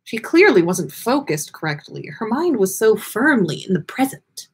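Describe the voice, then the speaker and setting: A woman reading a story aloud in a theatrical, expressive way with drawn-out words and pauses, as the host of a livestream. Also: medium pitch, slightly rough. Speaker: podcast host reading listener fan fiction on a livestream.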